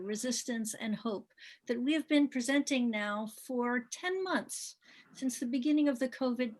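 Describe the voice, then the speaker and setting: Higher-pitched woman hosting an event. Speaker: event host introducing the program. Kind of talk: spoken welcome at an online event.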